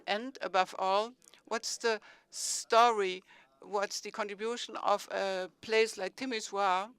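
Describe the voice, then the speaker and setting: A man in his forties with a German accent, speaking as a city mayor on a conference panel, measured and searching for words. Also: high-pitched, fairly even intonation, fairly bright tone, smooth, no echo.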